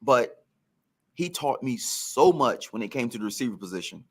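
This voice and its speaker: A man's voice: mid-range voice, sing-song, moderate pace, fairly bright tone, slightly rough. A man, a former pro football receiver, telling career stories on a podcast in a reflective, emphatic way.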